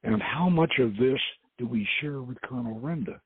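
Man reading as a thoughtful woman, husky voice. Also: medium pitch, normal speed, no room sound. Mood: questioning. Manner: musing, plain-spoken. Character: female archaeologist, thoughtful, questioning, tender-hearted. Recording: muffled phone-like lo-fi audio.

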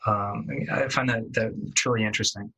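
Bright, forceful low-voiced male interviewer. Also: fairly even intonation, husky, low-quality recording. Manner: halting, repeats words. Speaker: interview host. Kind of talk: conversational interviewing.

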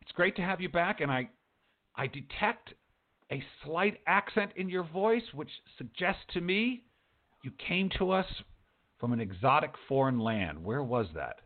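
A man's voice: on the high side, sing-song, unhurried. A man hosting a talk show in a casual, chatty way, rambling along and answering his own remarks. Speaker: host of a writing talk show. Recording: muffled phone-like audio.

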